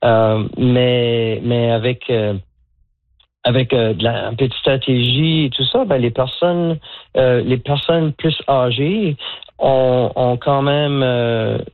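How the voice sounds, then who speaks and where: A man's voice: mid-range voice, steady tone, slow. A man in his sixties from Moncton, talking as a guest in a radio phone interview, hesitant, with many fillers and restarts. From Moncton, Canada. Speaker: guest, pickleball coach. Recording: tinny dry phone line.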